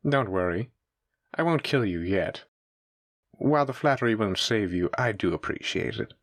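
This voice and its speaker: Male mafia boss villain, played by an actor, audio drama: lowish voice, dry sound, theatrical, condescending, teasing menace. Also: creaky, husky, no room sound, heavily acted. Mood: amused, teasing. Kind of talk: scripted roleplay audio drama addressed to listener.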